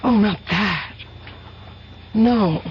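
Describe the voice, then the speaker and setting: A woman's voice: medium pitch, very slow. An actress playing a troubled, secretive woman in an old-time radio drama, with a hesitant, dramatic manner. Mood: anxious, pleading. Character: mysterious, troubled woman confiding in an agent. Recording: dull old radio recording, faint noise.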